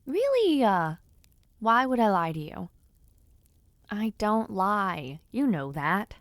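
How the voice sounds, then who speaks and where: A young noblewoman in an audio roleplay, teasing and a little flustered, voiced by a woman. Her pitch swings widely and she speaks at an unhurried pace. The voice is recorded close up and dry. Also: very animated, no echo. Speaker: voice actor.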